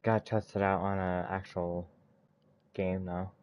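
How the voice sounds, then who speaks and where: A man's voice: low-pitched, level tone, unhurried, lo-fi sound. A man giving casual commentary on his own card game, muttering to himself as he plays. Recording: loud background noise, mouse clicks.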